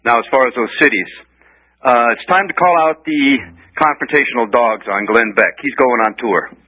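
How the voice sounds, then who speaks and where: A man's voice: medium pitch, normal speed, slightly rough, narrow, tinny audio. A man calling into a radio talk show, reading off a list of dates and cities. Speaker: caller.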